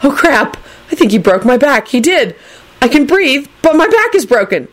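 A woman plays a panicky young man in an audiobook story. The voice rides high and swings widely in pitch. He whines and splutters with melodramatic sarcasm. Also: expressive, fairly quick. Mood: indignant whining.

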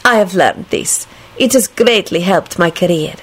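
A woman's voice: fairly low pitch, lively intonation, a little slow, slightly rough. A woman voicing an earnest, polite, ambitious young woman in a story reading, with careful formal phrasing. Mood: enthusiastic, earnest.

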